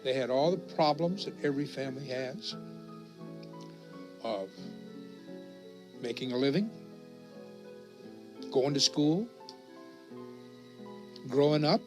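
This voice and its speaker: A man's voice: medium pitch, expressive, takes it slowly, long pauses. A man giving a reflective interview in short, simple phrases. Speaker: interviewee in a documentary. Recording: music under the voice. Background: instrumental music.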